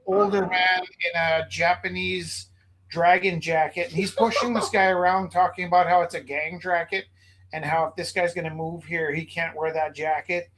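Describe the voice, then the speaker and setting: A man from Alberta, a gallery owner and teacher, rambling through animated anecdotes in casual chat. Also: sits high, normal speed, noisy background. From Alberta, Canada.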